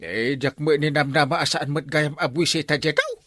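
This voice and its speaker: A man's voice: a little high, normal speed, fairly bright tone, no room sound, studio quality. A man playing a flustered, grumbling village captain who stammers and exclaims, in an Ilocano comedy audio drama. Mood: flustered, defensive.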